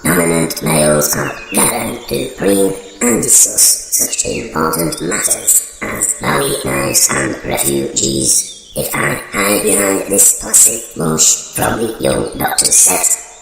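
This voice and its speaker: A performer playing a solemn roving anthropologist, narrating a comedy mock wildlife documentary in hushed tones. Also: low-pitched, sing-song, slow, gravelly, over music, full character voice. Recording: cavernous echo, long reverb, noisy.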